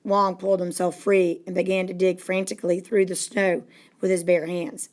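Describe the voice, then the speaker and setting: A woman reading a story aloud as a narrator, in a deliberate, dramatic storytelling manner. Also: lowish voice, flat delivery, a little mellow. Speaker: storybook reader. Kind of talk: expressive read-aloud narration.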